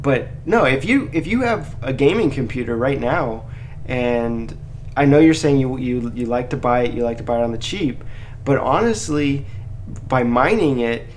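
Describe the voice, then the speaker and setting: A man's voice: mid-range voice, animated, normal speed, slight background noise. A man hosting a casual live chat, talking in an informal, encouraging and slangy way as he helps listeners out. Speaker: live Q&A host, crypto mining helper.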